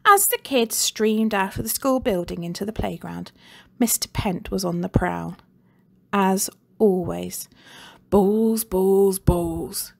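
A woman teacher reading a children's book aloud with expressive, animated storytelling. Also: mid-range voice, vocal fry.

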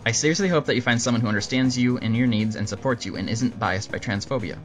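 A man hosting a scripted question-and-answer show, narrating answers in a dry, deadpan manner. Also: mid-range voice, fast talker. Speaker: host answering viewer questions. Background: music under the voice.

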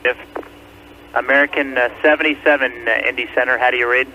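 A man's voice: medium pitch, fairly even intonation, quick pace, sharp tone. A man working as an air traffic controller, speaking in clipped radio phraseology over an aviation radio. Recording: muffled, noisy air traffic radio recording.

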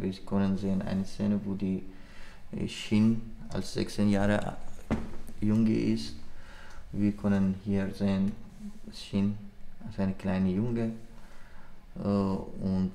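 Slow, low-voiced man with a Persian accent. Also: dark tone. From Iran. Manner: halting non-native speech with simple, broken grammar. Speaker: illustrator and animation artist. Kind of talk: informal interview explaining own work. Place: indoor art studio at a desk.

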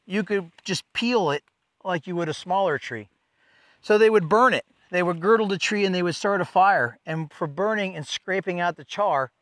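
Man, 40s, folksy outdoor museum educator, slightly high voice, dry close mic. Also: a bit bright, no room sound. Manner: folksy, enthusiastic explainer. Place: outdoors in a forest.

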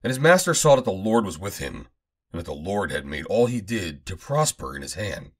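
A man reading the Bible aloud as scripted narration, in a measured, declamatory manner, phrase by phrase. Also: sits low, big pitch swings. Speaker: Bible reader.

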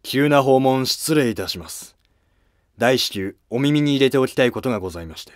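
A man's voice: mid-range voice, expressive, a little fast, no echo, clean studio sound, natural voice. A man playing an earnest Heian nobleman using humble, formal court speech. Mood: urgent, respectful.

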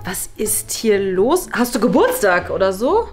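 Woman as confused teacher colleague, medium pitch, faint music behind. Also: sing-song, a little slow. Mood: puzzled. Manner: puzzled, questioning. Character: bewildered female teacher colleague. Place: bright office room indoors.

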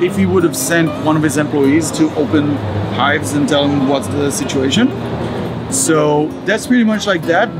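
Man explaining at a trade show booth. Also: fairly high pitch. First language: Hebrew. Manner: explanatory, many fillers and restarts. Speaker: startup executive.

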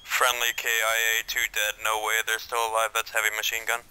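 Male squad lead, steady monotone orders, noisy voice chat. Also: lowish voice, fairly quick. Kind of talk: tactical game voice chat.

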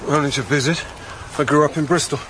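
A man's voice: on the high side, steady tone, quick pace, a bit bright, a little hiss or hum, close to an everyday voice, low-quality recording. An actor playing a lost, exasperated modern businessman in a naturalistic radio drama. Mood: flustered.

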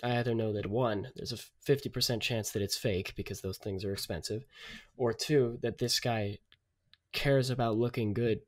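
A man's voice: medium pitch, steady tone, moderate pace. A man co-hosting a podcast, speaking in casual discussion and arguing his opinions with repeated words. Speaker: podcast co-host.

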